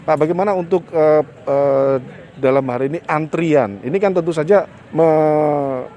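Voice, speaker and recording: A man working as a field reporter, giving a formal on-location news report and interviewing people outdoors at a village hall, with measured speech and some hesitant fillers. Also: a little high, warm tone, highs cut off, a little hiss or hum.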